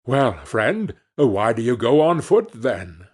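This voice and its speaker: A man's voice: mid-range voice, big pitch swings, slow pace, darkish tone, husky, full character voice. A man voicing an affable horseman in a fairy tale, friendly and persuasive as he trades. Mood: curious. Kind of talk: fairy tale storybook reading.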